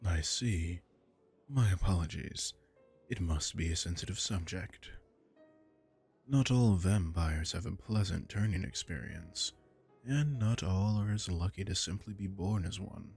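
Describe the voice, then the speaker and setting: A man voicing a suave aristocratic male vampire lord in an ASMR roleplay, smooth and teasing. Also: low-pitched, a little slow, a little mellow, soft background music. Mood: smooth menace.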